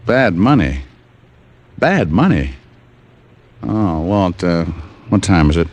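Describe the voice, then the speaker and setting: An actor playing a cynical, wisecracking hard-boiled private detective in an old-time radio drama, with a wry, dry delivery. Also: male voice, deep voice, sing-song, takes it slowly, darkish tone, lo-fi sound, exaggerated voice. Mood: brisk, intent. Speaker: lead actor and narrator.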